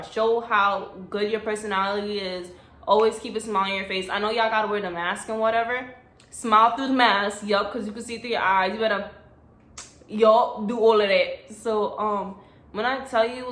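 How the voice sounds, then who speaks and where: A woman's voice: mid-range voice, a bit bright. A young woman giving blunt, chatty job advice in a casual vlog, recorded on a phone. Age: young adult. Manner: chatty, blunt and sassy, acts out voices. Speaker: vlogger. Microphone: phone camera. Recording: echoey room.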